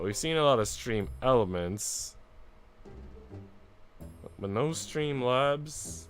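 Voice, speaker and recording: A man streaming a visual novel, reading it aloud as expressive narration and making casual asides. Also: mid-range voice. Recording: music playing behind the voice. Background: music.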